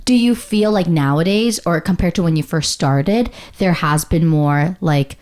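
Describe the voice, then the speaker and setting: A young woman co-hosting a casual podcast. She asks curious questions and drops quick asides. Her voice sits somewhat low. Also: a little mellow. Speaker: podcast co-host.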